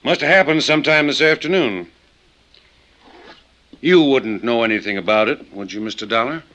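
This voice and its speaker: An actor playing a shrewd, laconic small-town police chief with folksy phrasing in an old-time radio detective drama. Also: male voice, medium pitch, normal speed, pushed and bright, lightly acted.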